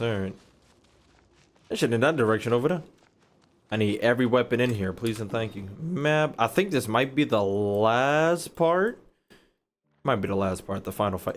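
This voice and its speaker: A man's voice: medium pitch, lively intonation, moderate pace, long pauses. Male game streamer, young adult, American accent: casual, sweary, jokey commentary in a medium register. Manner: slangy, profane, joking, repeats lines back. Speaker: gaming streamer. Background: faint game music.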